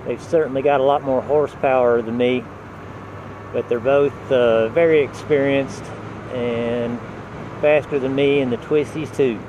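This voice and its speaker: A man narrating his motorcycle ride from a helmet mic in a relaxed, unhurried way, with wind and engine noise. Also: mid-range voice. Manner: relaxed, unhurried, talks while riding. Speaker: motovlogger. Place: on a motorcycle outdoors. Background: road and wind noise.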